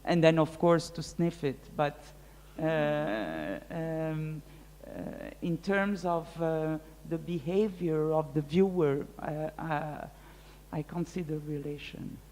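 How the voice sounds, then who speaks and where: A woman whose first language is French, answering audience questions as a guest lecturer, thinking aloud with many pauses and fillers. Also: deep voice, fairly even intonation, a little mellow, slight background noise. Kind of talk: live public Q&A. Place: large lecture hall. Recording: reverberant hall.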